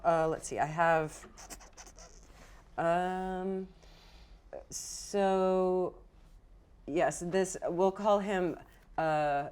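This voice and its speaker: A woman game master running a tabletop roleplaying game, talking casually and encouragingly with her players. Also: lowish voice.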